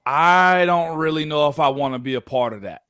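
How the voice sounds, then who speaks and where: A man's voice: fairly high pitch, lively intonation, normal speed, bright, forceful tone, clear, resonant tone, no room sound, studio quality. A man in his thirties, a former football player, co-hosting a sports talk show in a laid-back, chatty way.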